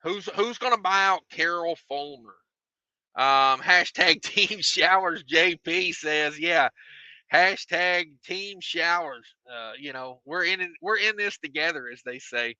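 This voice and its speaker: A man hosting a trucking livestream, reading viewer comments in a relaxed, rambling way with many fillers and repeated phrases, his voice getting tired and hoarse. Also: fairly high pitch, unhurried, dry recording, dull audio. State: losing his voice.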